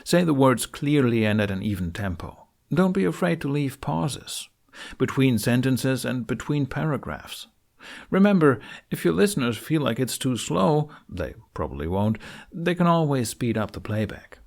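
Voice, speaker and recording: A man narrating a how-to tutorial, explaining things step by step with deliberate phrasing and pauses. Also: mid-range voice, big pitch swings, gravelly, darkish tone. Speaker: tutorial host. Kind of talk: instructional narration for a how-to video.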